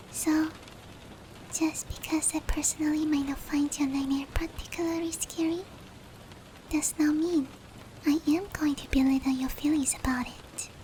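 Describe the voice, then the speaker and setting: A soft, breathy female demon played by a woman whispers tenderly in a high voice. She is caring and reassuring, in the close, intimate style of roleplay ASMR. Light rain noise runs behind her. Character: gentle, caring female sleep paralysis demon comforting a human.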